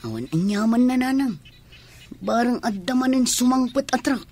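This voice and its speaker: A woman's voice: fairly high pitch, lively intonation, normal speed, warm tone, breathy edge. A woman acting as a dutiful, caring young adult talking to a parent in an Ilocano radio drama.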